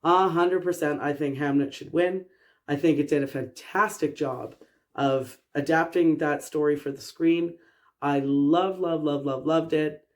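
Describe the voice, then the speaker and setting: Woman vlogger, voice froggy and hoarse from a cold, chatty and opinionated to camera. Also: low-pitched, fairly even intonation, unhurried, darkish tone. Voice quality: froggy, rough voice. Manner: chatty and opinionated, listing picks. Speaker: film review vlogger. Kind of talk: casual chat to camera.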